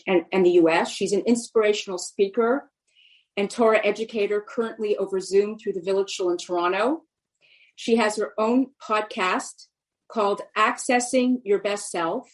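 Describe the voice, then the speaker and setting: A woman's voice: mid-range voice, a little mellow, rough recording. Flustered woman host introducing a speaker. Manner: slightly flustered, searching her notes. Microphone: video call.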